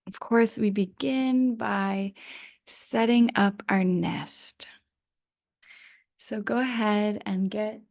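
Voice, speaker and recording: A woman guiding a restful yoga nidra meditation in a gentle, unhurried manner with long pauses, her voice drifting into breathy near-whispers. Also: mid-range voice. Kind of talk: guided rest meditation.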